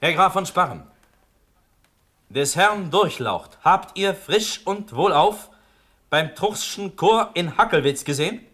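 An actor playing an ardent young Prussian prince in a classical radio drama, declaiming with breathy hushes and sudden outbursts. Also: male voice, high-pitched, expressive, slow pace, full character voice. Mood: incredulous. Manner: impassioned declamation, swinging from breathy hush to outbursts.

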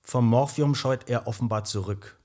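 A man with a mid-pitched voice, an actor playing a psychiatry professor in a radio play. He reads a report in a detached, clinical way. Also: normal speed, a little mellow, lightly acted. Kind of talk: radio drama, clinical report read aloud.

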